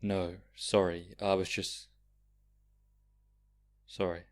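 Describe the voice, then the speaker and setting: A woman's voice: a bass voice, level tone, slow pace, no echo. A woman playing a brusque, take-charge character in a naturalistic audio drama. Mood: awkward.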